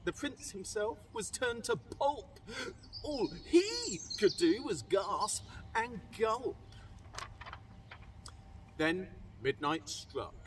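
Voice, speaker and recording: A British man tells a rhyming children's story with theatrical emphasis. His high-set voice rises and falls widely, and he takes his time. The microphone is a little distant. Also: very animated, extremely slow, long pauses. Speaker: primary school teacher reading aloud. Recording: distant microphone, faint background noise.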